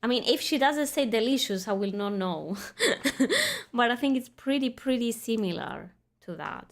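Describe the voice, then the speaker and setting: A woman's voice: on the high side, sing-song, measured pace. Chatty woman streamer, easy laughs. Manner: chatty, laughs easily, reads quest text aloud. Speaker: game streamer. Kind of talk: casual gaming commentary.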